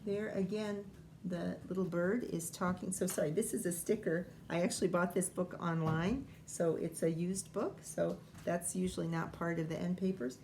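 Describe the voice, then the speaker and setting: A woman's voice: lowish voice, fairly even intonation, normal speed, gravelly, darkish tone, slight background noise. A woman schoolteacher reading a children's picture book aloud, informally thinking aloud and wondering as she goes.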